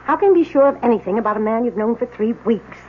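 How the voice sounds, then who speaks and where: An actress playing a crotchety, suspicious old aunt in a radio drama, speaking curtly and scolding. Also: female voice, a little high, expressive, fast, close to an everyday voice. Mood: suspicious, lecturing. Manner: curt, scolding, interrogating. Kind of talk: 1970s radio drama acting. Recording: tinny, faintly noisy old radio broadcast.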